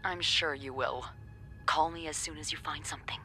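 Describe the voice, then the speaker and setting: An actress playing a chatty, wry young woman working as a hotel receptionist in a video game, with playful, expressive delivery. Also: low voice, normal speed, soft background music. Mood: gossipy, conspiratorial. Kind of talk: video game dialogue acting.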